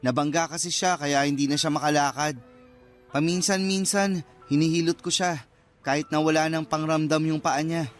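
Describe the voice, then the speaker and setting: Actor doing sheepish young guy, pretty high voice, earnest, radio drama with music under it. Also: fairly even intonation, normal speed, lightly acted. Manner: apologetic, earnest. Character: apologetic, earnest, awkward young man. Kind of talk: Filipino radio drama acting. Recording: music bed behind the voice.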